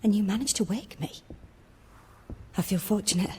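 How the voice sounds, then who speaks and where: An actress playing a refined, weary female seer in a video game, speaking formally and with measured words. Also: medium pitch, warm tone, natural voice. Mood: shaken, weary. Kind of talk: video game character acting. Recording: long reverb, some ambient noise.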